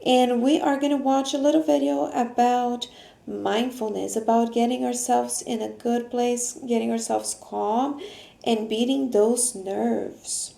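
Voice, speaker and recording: Halting woman teacher with a creaky voice. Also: on the high side, steady tone, warm tone. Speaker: teacher hosting a video lesson. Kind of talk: casual bilingual explanation to children. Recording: roomy echo.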